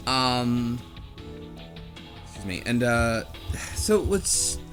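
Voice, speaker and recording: A man co-host chatting casually in a halting, rambling way. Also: medium pitch, sing-song, a bit bright, soft background music. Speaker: podcast co-host. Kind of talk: casual chat.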